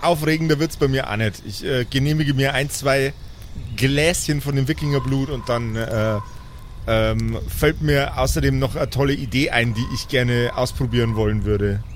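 A man's voice: medium pitch, moderate pace, a little hiss or hum. A man playing in an improvised tabletop role-play, casually describing what his character does and says.

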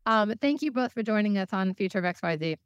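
Female interview host, American, upbeat and conversational, crisp clean voice in a dry studio sound. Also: mid-range voice, expressive, quick pace, no room sound. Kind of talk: hosting an interview show.

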